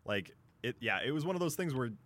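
A man's voice: medium pitch, lively intonation, quick pace, fairly bright tone. A man co-hosting casual sports talk radio in an easygoing manner.

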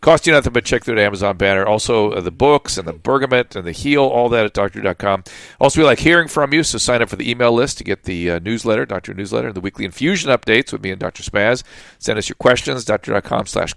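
Low gravelly man hosting a podcast. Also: expressive, fairly bright tone, dry recording. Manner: repeats words, halting restarts. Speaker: podcast host, addiction doctor. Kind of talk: casual podcast talk.

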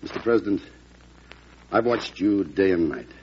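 An actor playing a solemn, loyal defense lawyer in an old-time radio drama, speaking in a formal, measured, oratorical way. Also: male voice, low voice, lively intonation. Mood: earnest, solemn. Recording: muffled, noisy vintage radio recording.

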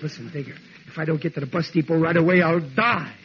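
High-pitched blustering radio dad. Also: male voice, fast talker, vocal fry. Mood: urgent, exasperated. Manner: exasperated, theatrical, ungrammatical bluster. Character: blustering, excitable working-class father, dim but soft-hearted. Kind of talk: 1940s radio sitcom acting. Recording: noisy muffled vintage radio recording.

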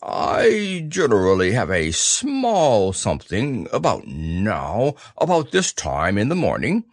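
A man voicing a humble, slow-witted, gentle toy bear in an earnest, plodding way for a storybook. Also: mid-range voice, sing-song, no echo, studio quality, exaggerated voice. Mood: dreamy. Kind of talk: storybook character voice.

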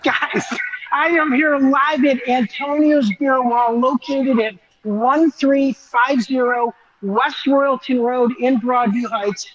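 A man's voice: very high-pitched, steady tone, slow pace, slight background noise. A male roving reporter explains things in an enthusiastic, conversational way. His live feed sounds slightly dull and lo-fi.

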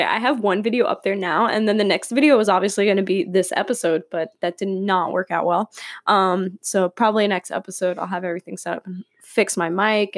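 A woman's voice: mid-range voice, no echo, studio quality. Chatty young woman podcaster. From California. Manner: chatty, rambling, laughs often, many fillers. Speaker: podcast host. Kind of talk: casual solo podcast chat. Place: room at home with windows open.

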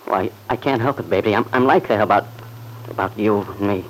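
An actor playing a jealous, hot-blooded young husband in an old-time radio drama, brooding and intense, talking in plain colloquial speech. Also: male voice, fairly low pitch, fairly quick, natural voice. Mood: brooding jealousy. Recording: noisy vintage radio recording.

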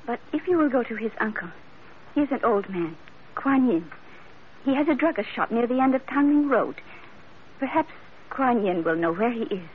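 An actress playing an earnest, composed young Chinese bride in an old radio drama, speaking formally and carefully. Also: female voice, on the high side, lively intonation, normal speed, pauses often, slight background noise, close to an everyday voice. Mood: pleading, earnest. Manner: formal, careful phrasing without contractions. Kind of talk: 1940s-50s radio drama acting. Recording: tinny, narrow-band old radio recording.

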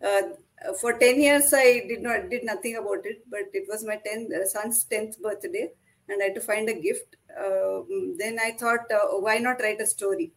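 A woman in her forties, a native Kannada speaker, answering interview questions as an author, with many pauses and fillers. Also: medium pitch, steady tone, rough recording. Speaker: author guest.

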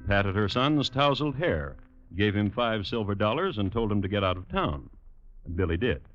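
Wry, low-voiced radio narrator, a man. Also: very animated, moderate pace. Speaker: host and narrator. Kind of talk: 1950s radio scripted true-crime narration. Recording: muffled old radio recording. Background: music.

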